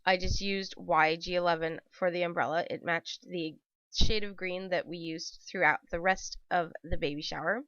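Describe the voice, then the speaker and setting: A woman's voice: on the low side, steady tone, moderate pace, fairly bright tone, dry recording. A woman hosting a casual craft tutorial voice-over, her throat scratchy and dry from a cold, chatty and rambling with many ums and self-corrections. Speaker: papercraft channel host. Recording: dull dry home voice-over.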